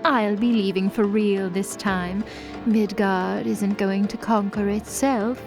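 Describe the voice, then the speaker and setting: A woman voicing a cold, vengeful goddess who speaks in a deliberate, threatening, dramatic way. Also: medium pitch, fairly even intonation, music in the background. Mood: resentful. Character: cold, vengeful, menacing goddess, bitterly accusing.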